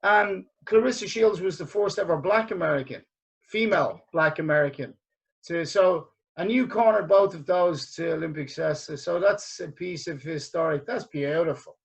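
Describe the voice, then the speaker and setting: A man hosts a casual podcast interview. His voice is fairly high for a man, and he talks earnestly, often stumbling and restarting his sentences. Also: normal speed, creaky, slightly rough, rough recording. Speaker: podcast host.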